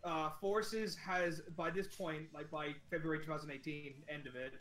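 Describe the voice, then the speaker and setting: A man's voice: on the high side, steady tone, normal speed, a bit bright, soft background music. A twenty-year-old man in a casual gaming chat, blunt, swearing a lot and laughing often.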